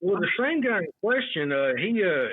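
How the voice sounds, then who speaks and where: A man with a husky, somewhat high voice tells stories as a podcast guest, peppering his talk with fillers. He sounds thin and tinny, as if over a phone line, with a dry sound. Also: sing-song, moderate pace, no echo. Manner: storytelling with many fillers. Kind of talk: casual podcast chat, telling anecdotes.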